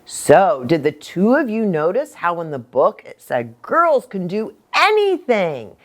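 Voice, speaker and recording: A woman's voice: lowish voice, huge pitch swings, unhurried. An American woman preacher explaining things simply to children as a story-time host.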